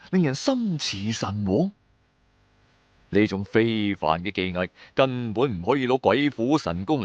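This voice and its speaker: A man's voice: on the low side, no room sound, dull audio. A man narrating a scripted first-person audio drama in Cantonese-accented speech, in a colloquial, dramatic storyteller's manner. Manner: colloquial storyteller delivery with sentence-final particles.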